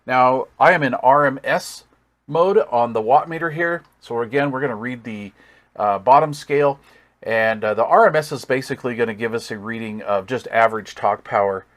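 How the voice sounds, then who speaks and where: A man with an average-pitched voice gives an informal, hands-on demo. He thinks out loud as he works, in the folksy, easygoing way of an enthusiastic hobbyist showing off equipment. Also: moderate pace. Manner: thinks aloud while working. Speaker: radio reviewer and hobbyist. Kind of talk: casual hands-on product demonstration.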